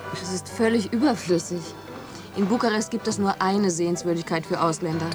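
A woman's voice: fairly low pitch, fairly even intonation, a little fast, lightly acted. Cynical young student girl in a radio play, a woman doing it, dry and mocking, music behind. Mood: sardonic. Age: young adult. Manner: sardonic, mocking.

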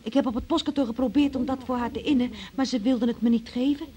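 A woman's voice: high voice, steady tone, quick pace, dark tone, lightly acted. An actress playing a naive, frightened young woman under questioning in a Dutch radio play, hesitant and defensive. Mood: timid, evasive.

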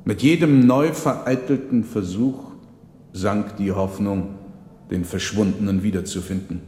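Radio drama: man playing an eloquent trickster conjurer, deliberate, formal storytelling, set in a reverberant echoing space. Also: fairly low pitch, dark tone, natural voice. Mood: solemn, dramatic. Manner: measured, dramatic, formal diction. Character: theatrical trickster conjurer recounting his tale, deliberate and eloquent. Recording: echoey reverberant hall sound.

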